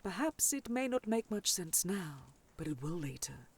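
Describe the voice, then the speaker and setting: A woman's voice: on the low side, very animated, normal speed, slightly rough, heavily acted. A woman playing a caring, authoritative older scholarly aunt in a fantasy audio roleplay, with solemn, formal delivery. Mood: tender, earnest. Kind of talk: audio roleplay drama acting.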